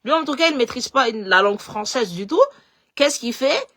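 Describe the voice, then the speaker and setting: A woman with a slight Malagasy accent talking in a casual, animated way, repeating words as she goes and switching between languages. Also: fairly high pitch, moderate pace. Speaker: political commentator. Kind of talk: casual live talk.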